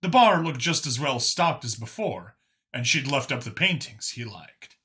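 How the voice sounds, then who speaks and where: Bright-voiced man narrating a story. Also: medium pitch, big pitch swings, moderate pace. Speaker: audiobook narrator.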